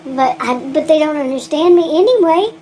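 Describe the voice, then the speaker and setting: A woman's voice: high voice, animated, normal speed, warm tone, a little hiss or hum. A woman with a thick Texan accent, vlogging in a rambling, frank monologue, often laughing and restarting her sentences. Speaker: gig delivery vlogger.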